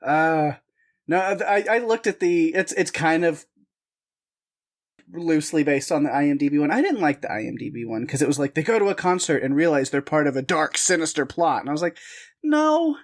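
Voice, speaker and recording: A man's voice: fairly high pitch, big pitch swings, normal speed. Man, podcast co-host, casual chat, rambling, restarts sentences, self-deprecating laughs.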